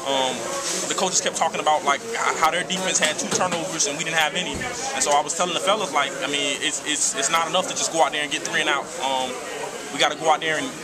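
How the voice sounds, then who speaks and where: A young college football player giving a postgame locker room interview, halting and full of fillers. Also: male voice, fairly high pitch, steady tone. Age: young adult. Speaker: college football defensive back. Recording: loud crowd noise behind.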